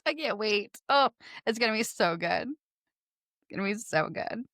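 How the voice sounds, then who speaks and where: A young woman with a Texan accent hosting a casual author interview, bubbly and quick to laugh. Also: medium pitch, expressive, fairly bright tone, no echo, clean studio sound. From Texas. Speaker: podcast host.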